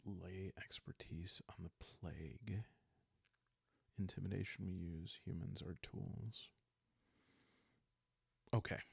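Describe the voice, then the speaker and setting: A man calmly thinking aloud in an unhurried, casual way as he plays and narrates a solo roleplaying game. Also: deep voice, vocal fry, breathy edge, dry recording. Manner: calm, unhurried, musing, meant for relaxed listening. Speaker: host and solo player of a journaling roleplaying game.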